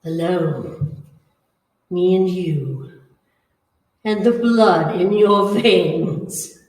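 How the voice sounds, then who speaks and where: A woman playing a menacing, bloodthirsty old female vampire in old-time radio horror style, with commanding, drawn-out phrasing. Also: lowish voice, darkish tone. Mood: menacing, hungry. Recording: echoey hall, far from the mic.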